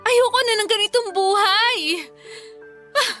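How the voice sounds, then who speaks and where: Radio drama casting: bitter young wife, very high-pitched, confrontational and jealous, over clear background music. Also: female voice, fairly even intonation, measured pace, pushed and bright, heavily acted. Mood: bitter, scornful. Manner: accusing, confrontational. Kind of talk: Filipino radio drama acting.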